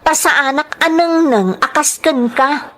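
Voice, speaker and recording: A woman's voice: high-pitched, expressive, slow pace, fairly bright tone, exaggerated voice. A woman acting a defiant, bitter young wife in a radio-style melodrama, confrontational, with an Ilocano accent. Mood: furious. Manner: heated, confrontational.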